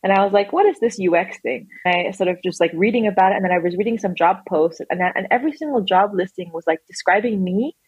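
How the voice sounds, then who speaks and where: A woman guest in a conversational interview, telling her story quickly and animatedly, with frequent restarts. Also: medium pitch, husky, no room sound, lo-fi sound. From grew up in England, Australia and the UAE. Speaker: guest, design manager.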